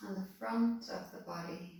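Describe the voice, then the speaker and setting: A woman calmly leading a guided body-scan meditation, giving soothing, unhurried instructions. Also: medium pitch, vocal fry. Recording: very echoey room, voice distant from mic.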